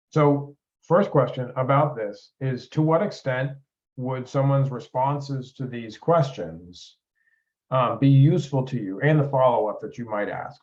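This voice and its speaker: A man facilitating a group training discussion in a deliberate, explanatory way, often rephrasing questions. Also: mid-range voice. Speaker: meeting facilitator. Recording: dull lo-fi meeting audio.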